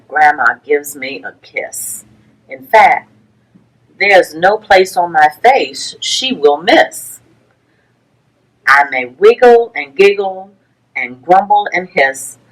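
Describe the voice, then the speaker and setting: Slow-paced woman reading a children's picture book. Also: on the low side, rough recording. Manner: deliberate, rhythmic reading of rhyming verse for young children. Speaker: librarian story-time reader.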